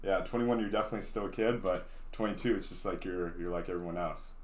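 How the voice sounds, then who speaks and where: Young man hosting, low voice, roomy echo. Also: fast talker. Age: 20s. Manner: relaxed, friendly, jokey. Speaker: podcast host. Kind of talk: casual interview chat.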